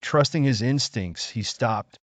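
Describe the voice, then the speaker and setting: A man narrating a scripted children's story in an expressive, measured storytelling manner. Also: medium pitch, no room sound.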